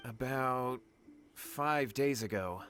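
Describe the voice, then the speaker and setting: A man's voice: medium pitch, slow pace, a bit bright, a little hiss or hum. A man playing an earnest, anxious young martial artist in a video game, deferential and hesitant. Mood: sheepish. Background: game music.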